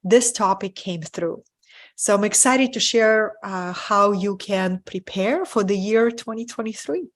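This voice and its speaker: A woman with a Russian accent, speaking English as her second language, leads a live online training for her community. She talks earnestly and keeps asking her viewers "right?" as she goes. Her voice sits at an ordinary middle pitch. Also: unhurried. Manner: warm, earnest, often asks "right?" to the audience. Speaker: spiritual business mentor. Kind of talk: live webinar presentation.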